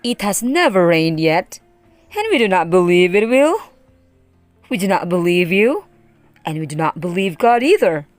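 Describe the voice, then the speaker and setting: A woman voicing mocking, skeptical male onlookers in a children's Bible story, loud, exclaiming and derisive. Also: lowish voice, sing-song, moderate pace, dry recording, soft background music. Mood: scornful, mocking.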